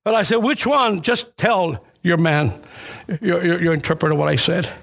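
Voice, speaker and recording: A man's voice: sits high, lively intonation, normal speed, creaky, a bit bright. A middle-aged or older man preaching a church sermon as personal testimony, telling it conversationally and repeating phrases for emphasis. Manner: conversational storytelling.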